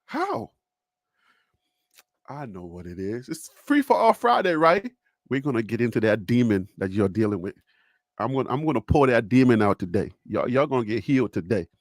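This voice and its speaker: Animated Liberian man hosting sports stream. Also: medium pitch, normal speed, no room sound, studio quality. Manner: animated, joking, repeats himself. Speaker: sports show host. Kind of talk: solo livestream sports talk.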